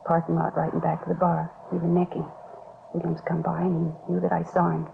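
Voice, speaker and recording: An American actress playing a worried beauty shop owner in a 1950s radio drama, confessing and pleading anxiously. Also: female voice, on the low side, completely flat delivery, brisk, vocal fry. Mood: ashamed, worried. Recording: scratchy, phone-like 1950s radio recording, noisy.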